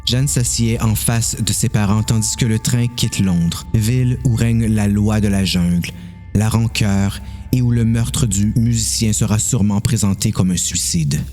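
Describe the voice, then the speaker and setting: A man's voice: lowish voice, measured pace, mellow, soft background music. A man with a Quebec French accent narrating a scripted, dramatised story.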